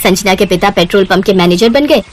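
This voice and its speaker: A woman's voice: medium pitch, quick pace, a little hiss or hum. A woman storyteller narrating a scripted moral story.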